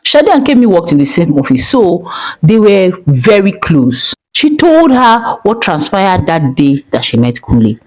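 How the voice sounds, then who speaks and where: A woman narrates a dramatic folk tale. Her voice sits low for a woman yet swings widely in pitch. She tells it with expressive, dramatic flair. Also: measured pace, low-quality recording. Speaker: storyteller.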